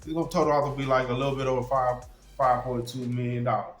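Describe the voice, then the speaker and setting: Sniffly sports-fan host in a roomy space. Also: male voice, medium pitch, level tone, fast talker, fairly bright tone. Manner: loose, slangy, swears freely. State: sniffly with a cold. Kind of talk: casual sports commentary. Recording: roomy sound with faint music.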